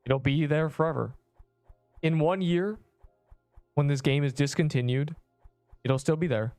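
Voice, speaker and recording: A young man with a subtle Canadian accent chats loosely while streaming a game, trailing off and restarting his thoughts. His voice is smooth and clear, recorded dry and close. Faint music plays underneath. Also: a little high, a little slow, a little mellow, no room sound. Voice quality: rambling, self-interrupting chatter. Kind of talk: casual live-stream chat while gaming.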